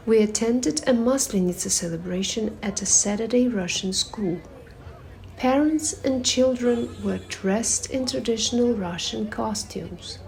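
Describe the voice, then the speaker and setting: A woman reading a personal essay aloud at a public reading, in a measured, reflective way with pauses between phrases. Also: a little high, vocal fry, darkish tone. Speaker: reader at a performative reading.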